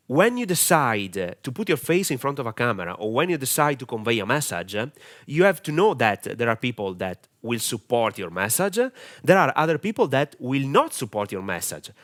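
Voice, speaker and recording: A man's voice: mid-range voice, a bit bright. A man from Italy with an Italian accent, giving a camera-confidence lesson as a marketing mentor, direct and earnest with many hesitations. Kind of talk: camera-confidence training talk.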